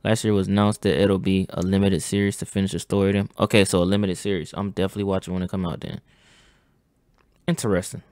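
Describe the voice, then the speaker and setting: A man's voice: low voice, fast talker, clear, resonant tone, dry recording, clean studio sound. Slangy young man reacting at home. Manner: slangy, excitable, says bro a lot. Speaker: reaction channel host. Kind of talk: casual reaction commentary. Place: home gaming setup, indoors. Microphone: headset.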